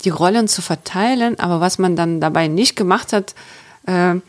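A woman's voice: fairly low pitch, normal speed. A woman in her thirties from Ukraine, a guest speaking thoughtfully in an interview, with frequent hesitations and restarts. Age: 30s. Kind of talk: conversational interview.

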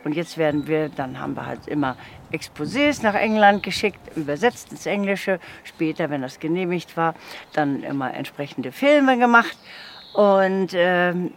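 A woman's voice: lowish voice, lively intonation, moderate pace, a little hiss or hum. A woman speaking as an audio drama producer, recollecting casually in a rambling, self-correcting and emphatic way.

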